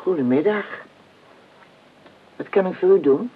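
An actress playing a guarded, loyal housekeeper in a radio play, speaking in a wary, halting way. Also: female voice, on the low side, lively intonation, slight background noise. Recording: tinny, phone-like old radio recording.

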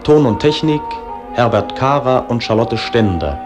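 A man announcing for radio, reading formally and deliberately from a script. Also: mid-range voice, lively intonation. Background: music.

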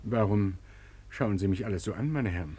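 An actor playing a nervous, deferential estate manager with hesitant speech in a 1950s German radio drama. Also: male voice, lowish voice, brisk, warm tone, slightly rough, no echo. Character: nervous, polite male estate manager under suspicion.

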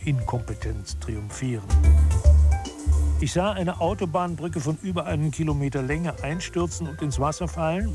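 A man's voice: a little high, natural voice. An actor playing a disillusioned male author who narrates an audio drama in an articulate, wry, essayistic manner. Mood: wry dismay. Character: male author, disillusioned observer of human incompetence.

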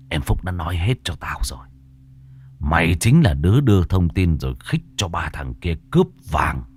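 A man voicing a vengeful young husband who threatens in a low, hissed half-whisper. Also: a little mellow.

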